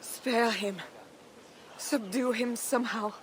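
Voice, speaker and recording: A woman's voice: fairly high pitch, takes it slowly, slight background noise, low-quality recording. An actress playing a devout, merciful and steadfast medieval noblewoman, earnest and prayerful, in a video game. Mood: pleading, earnest. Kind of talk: dramatic video game acting.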